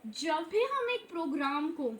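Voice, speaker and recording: Young Indian woman, lesson host, Indian accent, high voice, slow English definitions. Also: very animated, fairly bright tone, slight background noise. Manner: mixes English terms into Hindi, slow deliberate English definitions. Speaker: computer science teacher. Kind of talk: explanatory lesson.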